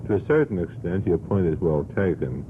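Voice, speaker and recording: A man's voice: deep voice, big pitch swings, fairly quick. A man on a radio panel speaks in a formal, wordy and courteous way, with some hesitation as he builds his points. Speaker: panelist questioning guests. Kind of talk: measured radio panel discussion. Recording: tinny old radio recording, faint noise.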